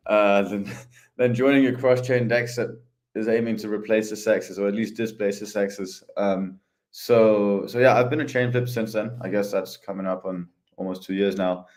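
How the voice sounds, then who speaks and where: A man chatting casually as a podcast guest, easygoing and hesitant with many pauses. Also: low voice, darkish tone. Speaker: head of ecosystem, podcast guest.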